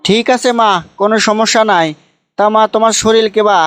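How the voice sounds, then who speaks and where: A man's voice: high-pitched, little pitch movement, brisk, a bit bright, smooth. A man voicing a hardworking, lonely cartoon son, pleading and wounded, in a plaintive Bogura dialect of northern Bangladesh. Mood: tender, hurt. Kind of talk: amateur comedy cartoon dubbing. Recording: music behind the voice.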